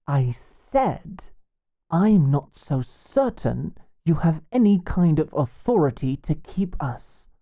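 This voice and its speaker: A woman's voice: deep voice, very animated, slow, narrow, tinny audio, heavily acted. A woman playing a protective, hot-headed young female guard in a medieval fantasy audio drama, blunt and challenging.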